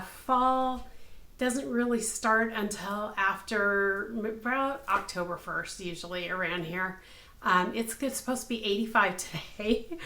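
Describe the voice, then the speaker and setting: A woman in her fifties, slightly hoarse, hosting a casual craft podcast from her home craft room, upbeat and full of ums. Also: mid-range voice, a bit bright. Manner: chatty and upbeat, many ums. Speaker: podcast host, crochet designer. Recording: echoey room.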